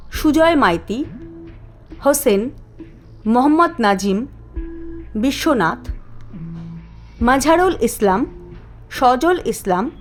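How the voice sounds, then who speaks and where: Slow, deliberate woman narrator. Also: high-pitched. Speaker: narrator and channel host. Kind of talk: scripted cartoon narration.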